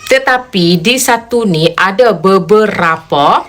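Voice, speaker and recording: A woman's voice: medium pitch, lively intonation, slow. A woman from southern Thailand with a Southern Thai Malay accent, explaining things in a direct, matter-of-fact way. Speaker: social media influencer. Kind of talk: casual explanation to camera.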